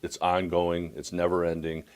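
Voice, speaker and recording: Very low-voiced American man in interview. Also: completely flat delivery, normal speed, darkish tone, clear, resonant tone. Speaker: association president, interviewee. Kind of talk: interview soundbite.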